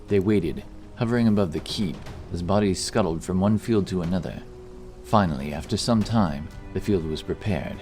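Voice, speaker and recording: Low-voiced male fantasy narrator over music. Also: normal speed, a little mellow. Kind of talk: scripted fantasy audiobook narration.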